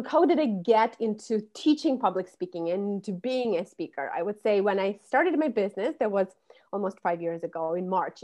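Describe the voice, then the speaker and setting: A woman's voice: medium pitch, darkish tone. A woman, a public speaking coach who explains things fluently in an interview. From Russia. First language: Russian. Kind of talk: podcast interview.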